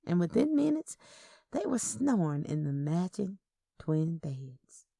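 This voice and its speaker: Gravelly, lower-voiced woman narrating a story. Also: big pitch swings, slow, mellow, no room sound. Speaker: audio fiction narrator. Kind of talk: scripted first-person story narration.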